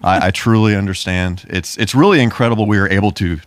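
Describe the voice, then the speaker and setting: Low-voiced man hosting a casual podcast. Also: fast, clear, resonant tone, no room sound. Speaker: podcast host. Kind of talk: casual chat.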